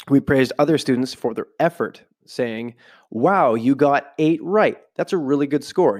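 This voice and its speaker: Male podcast host, home setup, stuffy with a cold, reads a book aloud and drifts into loose asides, mid-pitched. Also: a little mellow. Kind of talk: casual podcast, reading from a book with side comments. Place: home room, not a studio.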